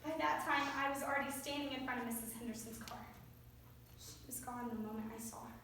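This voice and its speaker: A woman's voice: a little high, fairly even intonation, fast, a bit bright. A young woman playing a traumatized, guilt-laden teenage girl in a staged monologue. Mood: haunted. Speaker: student performer in readers theater. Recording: distant echoey stage recording, faint noise.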